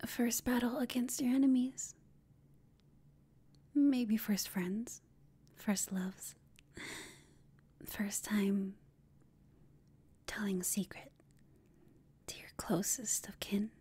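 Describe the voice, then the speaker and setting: A woman playing a flirtatious, smug female villain who taunts an enemy in a breathy whispered ASMR roleplay. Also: mid-range voice, fairly even intonation, mellow. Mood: flirtatious teasing. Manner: slow, teasing, smug.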